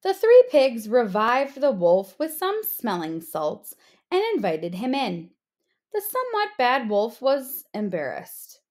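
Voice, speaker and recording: A woman narrating a children's storybook aloud in an expressive read-aloud style. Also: a little high, slow pace. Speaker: storybook reader, teacher.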